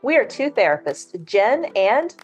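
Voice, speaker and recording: A woman reading a scripted podcast intro in a measured, deliberate way. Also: on the high side, sing-song. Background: faint music.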